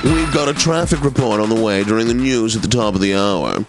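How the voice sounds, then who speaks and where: An actor playing a wry, pompous classical radio DJ in a video game, delivering announcements in a polished, theatrical manner. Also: male voice, on the low side, animated, brisk, over loud music. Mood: dry announcing. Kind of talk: radio weather reports and station idents.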